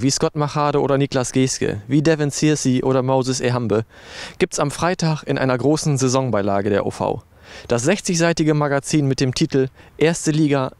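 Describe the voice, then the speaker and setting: Middle-aged male sports reporter, mid-pitched. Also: a little mellow, no room sound. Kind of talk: scripted sports news report.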